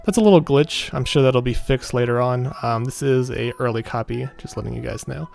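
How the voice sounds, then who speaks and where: A man's voice: mid-range voice, fairly quick, creaky, darkish tone. A man reading a visual novel aloud in an informal way, stumbling over the text and rereading, with casual commentary. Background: background music.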